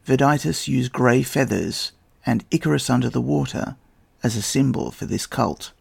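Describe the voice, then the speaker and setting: A male podcast host reads a scripted narration with a heavily gravelly, fry-laden voice. He sounds slightly croaky and a little husky. His delivery is measured and evocative, like someone telling a story. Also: mid-range voice, darkish tone. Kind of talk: scripted narration on history and tabletop role-playing.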